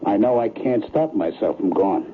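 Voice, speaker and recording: A man's voice: lowish voice, expressive, normal speed, a little hiss or hum, lightly acted. Actor as nervous male patient, blunt businessman, widely swinging intonation, agitated, radio drama, narrowband vintage recording. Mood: nervous, resigned. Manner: agitated, informal, emphatic. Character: anxious, resigned businessman patient, blunt and colloquial. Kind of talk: old-time radio drama acting.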